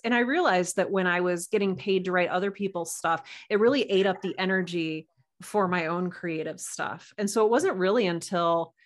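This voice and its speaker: A woman with a slightly low voice speaks as a guest in a casual conversation. She tells her story informally, often acting out her own thoughts as quotes. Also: fairly bright tone. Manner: informal, self-quoting. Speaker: guest writer and podcast producer.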